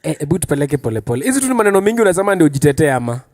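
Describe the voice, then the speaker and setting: Animated Kenyan man hosting a talk. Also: fairly high pitch, racing. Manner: animated, teasing questioning, switching between languages. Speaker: talk show host. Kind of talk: casual panel chat.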